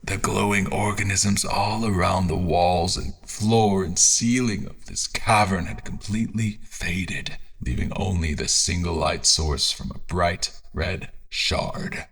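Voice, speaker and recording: An actor playing a solemn, haunted scholar-explorer who narrates his deadly quest in a brooding, deliberate way. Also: deep voice, steady tone, fairly bright tone, husky, heavily acted. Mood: grim, hushed. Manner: brooding, deliberate, with dramatic pauses. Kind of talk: dramatic first-person audio fiction narration. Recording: music behind the voice.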